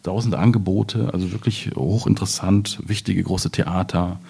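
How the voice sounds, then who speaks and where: A man's voice: deep voice, fairly even intonation, moderate pace, creaky, warm tone, slightly rough. A man from the Ruhr area in Germany, a poet talking casually and explaining things at a reading.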